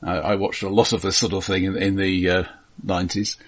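A middle-aged man co-hosting a film podcast in a halting, analytical way, repeating words as he thinks through his explanations. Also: low voice, level tone. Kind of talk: casual film discussion.

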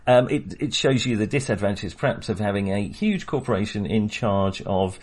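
A man's voice: lowish voice, lively intonation, normal speed. A man co-hosting a casual film podcast, talking with many fillers and small laughs. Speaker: podcast co-host.

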